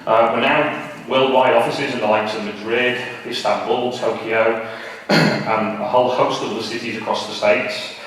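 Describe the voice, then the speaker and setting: A man's voice: mid-range voice, fairly even intonation, moderate pace, a bit bright. A man giving an informal, self-deprecating and slightly hesitant conference presentation. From Liverpool, England. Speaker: conference speaker, marketing director. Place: large conference hall. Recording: very echoey hall, distant, some noise.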